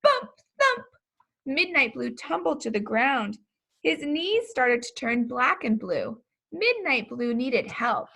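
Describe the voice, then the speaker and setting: A woman reading a children's story aloud in an expressive, deliberate storytelling manner. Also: high-pitched, vocal fry. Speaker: pastor reading a children's book.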